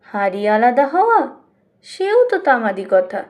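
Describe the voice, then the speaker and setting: High-pitched woman reading a story. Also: very animated, unhurried. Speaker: audiobook reader. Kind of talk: expressive scripted literary narration.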